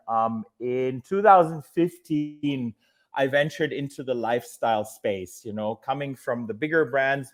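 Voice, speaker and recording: A man in his forties from Germany with a German accent, a hotel executive talking as a podcast guest in loose, conversational storytelling full of fillers. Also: medium pitch. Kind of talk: casual podcast interview.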